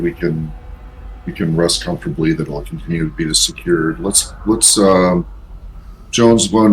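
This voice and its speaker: A man playing a tabletop game, giving directions in a measured, pausing way. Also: sits low, low-quality recording. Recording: faint background noise.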